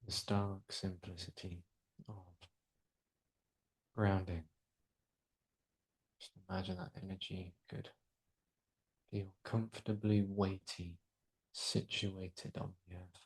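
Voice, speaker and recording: Male yoga nidra guide, lowish pitch, very flat intonation, soothing and unhurried delivery. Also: slightly rough, low-quality recording. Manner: soothing, unhurried, pausing between short phrases. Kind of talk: guided relaxation meditation.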